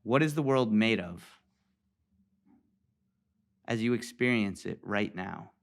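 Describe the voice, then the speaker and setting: Slow, low-voiced man guiding meditation. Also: vocal fry. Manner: calm, deliberate, with pauses. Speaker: meditation teacher. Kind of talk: guided meditation instruction.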